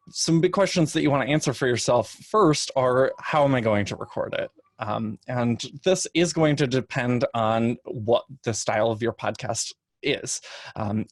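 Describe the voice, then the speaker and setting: A man giving an informal instructional panel talk in a measured, explanatory way, speaking into a microphone in front of him. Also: medium pitch, no room sound, studio quality. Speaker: podcast production expert on a panel. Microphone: standard microphone in front of his face.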